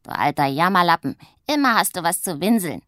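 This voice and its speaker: A woman voicing a loud, bossy and mischievous witch who talks in a gruff, scolding way, with a breathy voice. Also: mid-range voice, sing-song, normal speed, dry recording, studio quality. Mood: rowdy.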